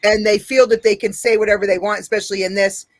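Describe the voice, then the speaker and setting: Blunt, deep-voiced woman host. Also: fairly even intonation, fast, dry recording. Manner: blunt, profane, laughs often. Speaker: podcast host. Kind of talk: casual interview chat.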